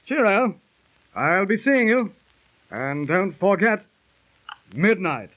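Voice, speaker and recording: A superstitious English gambler with a high, lively, clipped upper-class English accent and a jaunty manner. The sound is thin and tinny like a 1930s radio transcription, with faint hiss. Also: male voice, slow, exaggerated voice. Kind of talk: 1930s radio drama acting.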